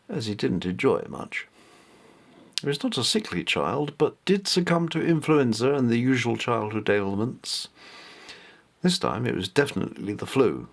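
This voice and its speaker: A man narrates a scripted story in a medium-pitched voice. He reads carefully, as an amateur author would when sharing his own tale. The delivery is that of a plain storybook reading, without professional polish.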